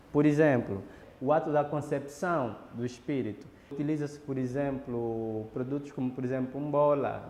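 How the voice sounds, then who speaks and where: Angolan man, documentary interviewee, mellow, reverberant room. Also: medium pitch. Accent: Angolan Portuguese. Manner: measured, pauses with fillers and restarts. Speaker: interviewee explaining a ritual. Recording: reverberant room, faint background noise.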